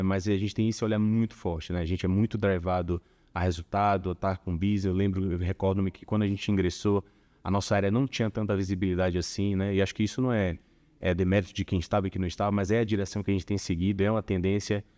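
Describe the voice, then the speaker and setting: A man from Bahia with a Bahian accent, chatting as a corporate guest in an interview, relaxed and full of small filler tags. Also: low-pitched, quick pace. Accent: Bahian Portuguese. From Salvador, Bahia, Brazil. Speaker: corporate tax director, guest. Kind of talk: casual interview conversation.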